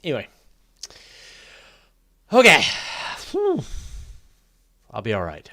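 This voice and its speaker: A man's voice: fairly high pitch, wildly swooping pitch, sharp tone. Rambling man chatting casually on a livestream. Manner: halting and rambling, often restarting phrases. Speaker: host of a live astronomy Q&A stream. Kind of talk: casual, unscripted livestream chat.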